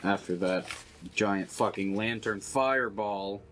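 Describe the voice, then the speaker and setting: A man's voice: lowish voice, measured pace, background noise. A man playing a tabletop game, chatting casually and bluntly with some swearing.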